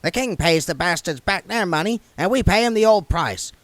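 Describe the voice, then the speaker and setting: A man's voice: high-pitched, animated, fairly bright tone, no room sound, pitched above the performer's own voice. A man doing an emphatic, practical dwarf. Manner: emphatic, hurried. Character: stubborn, practical male dwarf.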